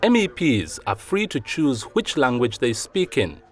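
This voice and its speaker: A man's voice: medium pitch, sing-song, a little slow. A man reading scripted narration for a documentary report.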